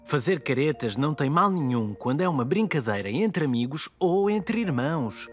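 A man playing a calm, mildly firm young father with a European Portuguese accent in a children's cartoon dub. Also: on the high side, moderate pace, soft background music. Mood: calmly serious.

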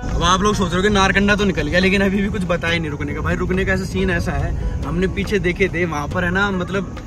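A young man, chatty and excited, narrating a travel vlog while driving mountain roads. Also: high-pitched, fairly quick. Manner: excited, chatty, calls viewers brother. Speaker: travel vlogger. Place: inside a car on mountain roads. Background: background music.

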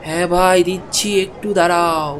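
A man voicing a greedy, cheating male meat seller in a cartoon, who talks smoothly and schemes aloud. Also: high-pitched, level tone, normal speed, a bit bright, a faint crowd, slight background noise, voice pushed down. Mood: coaxing. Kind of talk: cartoon voice acting.

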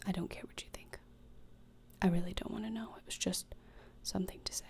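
A young woman acts out a gloomy teenage schoolgirl who relishes torture, in an ASMR roleplay. Her voice is a breathy whisper with a strong creak in it. She is deadpan and cutting, placing each phrase deliberately right at the microphone. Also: fairly low pitch, lightly acted. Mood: cold contempt. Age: young adult. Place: small indoor room, plain backdrop. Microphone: close desktop microphone.

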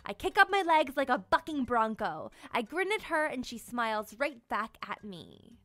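A young woman reading a children's picture book aloud in an expressive, playful way, as a storyteller for small kids. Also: on the high side, moderate pace, no room sound. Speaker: storyteller and children's channel host. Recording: music behind the voice. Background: music.

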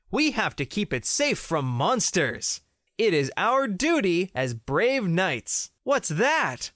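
An excitable, bossy little boy knight from a kids' comic , acted out by a woman. The delivery swings up and down wildly but takes its time, with a close, dry sound. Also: lowish voice, no room sound, full character voice. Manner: exclamatory, playful, dramatic. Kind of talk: children's comic read-aloud.

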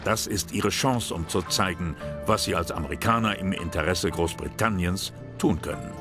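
A man with a low voice delivers scripted narration for a history documentary. Music plays clearly underneath him. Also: moderate pace.

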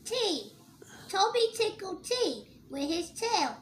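A child reading a picture book aloud in a slow sing-song way, letter by letter. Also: very high-pitched, sing-song, a little hiss or hum.

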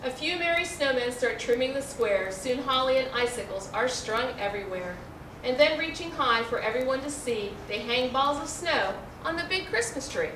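A middle-aged American woman reads a rhyming children's picture book aloud in an expressive, carefully enunciated way. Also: sits high, normal speed. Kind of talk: children's storybook read-aloud. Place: indoor room, seated before a backdrop. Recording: roomy, distant mic, faint noise.

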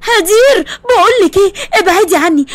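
A woman's voice: very high-pitched, animated, fairly quick, dry recording, voice pushed up. Woman voicing a blunt, sarcastic young Egyptian woman. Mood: exasperated. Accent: Egyptian Arabic. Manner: exasperated, sarcastic.

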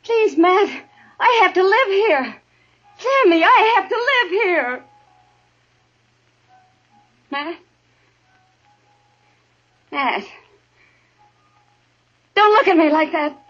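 A woman's voice: very high voice, very animated, drawn-out words, long pauses, fairly bright tone, exaggerated voice. An American actress playing a pleading, desperate frontier woman in a 1950s radio western. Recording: dull 1950s radio recording.

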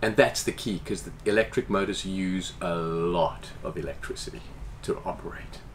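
A man's voice: deep voice, normal speed, slight background noise. A man in his forties, a boat owner, explaining casually in an interview inside a boat's salon, gesturing as he talks. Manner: animated, gestures with hands while explaining.

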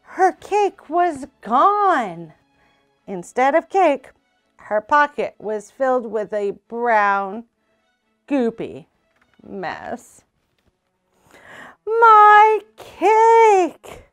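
A young woman reading a children's picture book aloud in a small indoor room, slow and expressive. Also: a little high, soft background music. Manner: slow, expressive read-aloud with character voices. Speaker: storytime reader.

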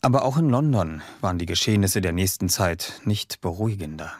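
An actor playing an earnest, troubled young doctor who narrates a horror radio play in a grave, measured, husky voice. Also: male voice, sits low, expressive, dry recording.

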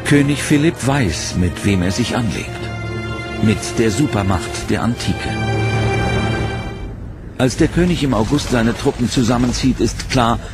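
A man narrating a history documentary in a measured, dramatic scripted delivery. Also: medium pitch. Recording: voice-over over loud music. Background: music bed.

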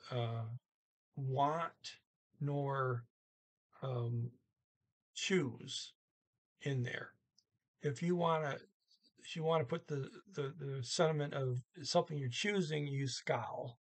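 An older man in his sixties teaches a language in an informal way. His voice is husky and he moves slowly, setting words apart with deliberate pauses. His pitch sits in the middle for a man. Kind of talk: informal Norwegian lesson, word-by-word glossing. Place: small room indoors at home. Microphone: webcam or computer mic at arm's length.

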